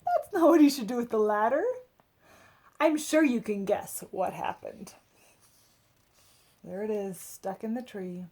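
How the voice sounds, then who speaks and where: A woman reading a picture book aloud to children, with expressive dramatic emphasis and chatty asides to her listeners. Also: a little high, a little slow, long pauses.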